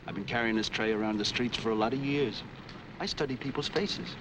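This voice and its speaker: An actor playing a humble, plain-spoken, obliging street peddler in a 1940s crime film. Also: male voice, medium pitch, fairly quick, lightly acted. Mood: humble, friendly. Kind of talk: 1940s film noir acting. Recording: noisy old film soundtrack, street ambience.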